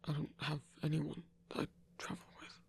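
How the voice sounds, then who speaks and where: A man acting a husky, hesitant lone werewolf. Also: a little high, monotone, in a whisper, exaggerated voice. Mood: hesitant, awkward. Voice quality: breathy, husky. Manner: halting, hesitant, stammering. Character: shy, awkward lone male werewolf hunter, earnest and gentle. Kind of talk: intimate ASMR audio roleplay.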